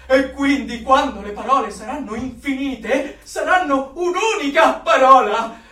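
An actor in a dystopian radio play playing a zealous, exuberant dictionary-maker of the regime, with a declamatory, fanatical delivery. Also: male voice, extremely high pitch, exaggerated voice. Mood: fervent, exalted. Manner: declamatory, fanatical, swinging from hushed to shouting. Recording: very echoey room, far from the mic.

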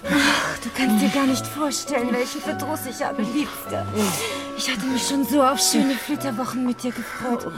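An actress playing a flirtatious, worldly married society woman in a radio play, with a coquettish, expressive manner. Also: high voice, normal speed, over loud music, natural voice. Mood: pleading, yearning. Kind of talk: German radio play acting.

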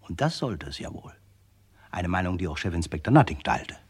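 Lively, husky, wry crime-story narrator. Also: male voice, low voice, a little fast, full character voice. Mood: wry storytelling. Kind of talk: first-person radio crime drama narration.

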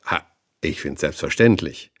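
A man's voice: extremely low pitch, animated, measured pace, no echo, studio quality, full character voice. A man playing a polite, attentive talk show host in a radio play, conversational and courteous. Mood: courteous, congratulatory.

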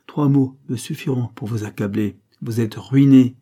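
A man's voice: medium pitch, moderate pace, breathy edge, natural voice. A man doing a stern old marquis, scornful. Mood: stern accusing. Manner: forceful, indignant reproach. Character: stern older male aristocrat, accusing and scornful.

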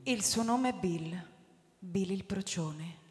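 An actress playing an elegant, cool young woman in a radio drama, speaking in a composed, formal and deliberate way. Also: mid-range voice, natural voice. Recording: hall reverb with music underneath.

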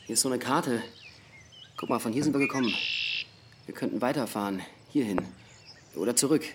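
An actor playing a wry, skeptical young backpacker in a naturalistic German radio drama. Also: male voice, mid-range voice, a little fast, very noisy, natural voice. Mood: tentative. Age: young adult. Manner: wry, drily ironic.

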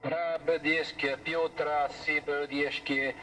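A man's voice: fairly high pitch, level tone, drawn-out words, bright, forceful tone, far from the mic, slight background noise, pitched above the performer's own voice, heavily acted. A man putting on a mock Russian musician with a fake Russian accent, chanting pseudo-Russian gibberish. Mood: pompous. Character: mock Russian male musician broadcasting from afar.

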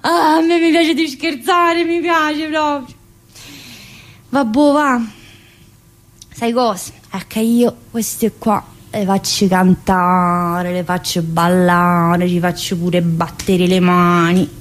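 A woman's voice: mid-range voice, level tone, slow, natural voice. An actress playing a chatty, wistful mother in prison, in a colloquial theatrical monologue with a Southern Italian, Neapolitan-tinged accent. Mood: teasing, then weary. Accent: Southern Italian, Neapolitan-influenced. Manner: colloquial, addressing listeners with frequent tag questions.

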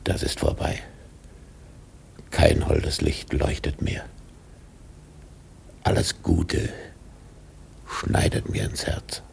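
An actor playing an obsessed, domineering old whaling captain in a radio drama, gravelly and declamatory with brooding pauses. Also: male voice, a bass voice, little pitch movement, slight background noise, full character voice. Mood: bitter brooding. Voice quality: gravelly, husky. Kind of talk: German radio drama acting.

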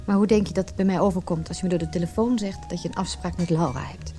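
A woman's voice: on the low side, quick pace, mellow, soft background music, close to an everyday voice. An actress playing an anxious, lovelorn businesswoman, a married man's mistress, in an emotional Dutch radio play. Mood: hurt, reproachful. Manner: emotional, inner monologue and tense dialogue.

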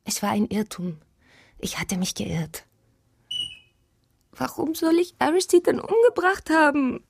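An actress playing a defensive, wounded young widow under suspicion in a German radio crime drama, with a plaintive, emotional delivery. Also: female voice, sits high, big pitch swings, a little slow, gravelly, dry recording, full character voice.

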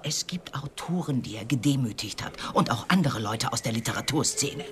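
An actor in a radio drama playing an exasperated colleague who argues emphatically and insistently, often breathy. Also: mid-range voice, animated, a little fast, a little hiss or hum, lightly acted.

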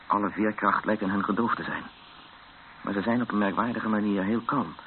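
An actor playing a weary, frightened captive who narrates a radio drama in brooding short sentences. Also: male voice, lowish voice, steady tone, fairly quick, close to an everyday voice. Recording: muffled, noisy old radio recording.

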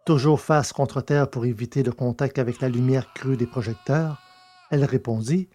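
A man reading a science fiction story aloud in a steady, measured narration. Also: medium pitch. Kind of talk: scripted audiobook narration.

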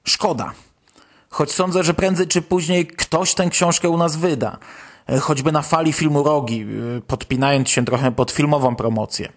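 Higher-pitched man hosting a podcast. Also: normal speed. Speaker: podcast host. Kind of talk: news commentary on a podcast.

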